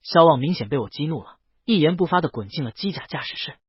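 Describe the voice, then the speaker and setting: A man narrating a first-person story in a scripted audiobook reading, with a lively and expressive delivery. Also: fairly high pitch, fast, husky, no echo. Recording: dry, dull lo-fi recording.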